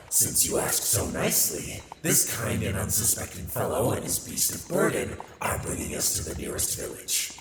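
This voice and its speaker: A man's voice: low-pitched, heavy background noise, heavily acted. A man playing a sly, hedonistic demon in an ASMR roleplay, theatrical and sardonic, mocking as he mentors. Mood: wry, scheming. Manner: theatrical, sardonic, grandiose wording. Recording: echoey reverberant sound, loud background ambience.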